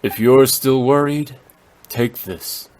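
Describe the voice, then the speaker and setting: A man playing a calm, learned, dignified gentleman in a video game, speaking formally and with composure. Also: mid-range voice, takes it slowly, lightly acted. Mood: calm, kindly. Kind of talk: measured game voice acting. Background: background music.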